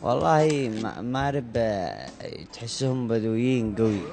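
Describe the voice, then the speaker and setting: A man from Sanaa with a Sanaani accent answering street questions calmly, pausing to think. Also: mid-range voice, creaky, soft background music. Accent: Sanaa Yemeni. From Sanaa, Yemen. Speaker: passerby interviewee.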